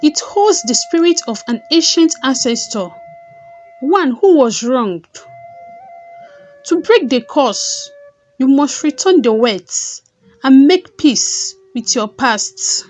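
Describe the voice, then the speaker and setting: A solemn old village sage, voiced by a woman storyteller in a high voice, gives grave counsel very slowly. It is a storybook folktale figure with soft music behind. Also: expressive. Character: solemn old village wise man giving warning.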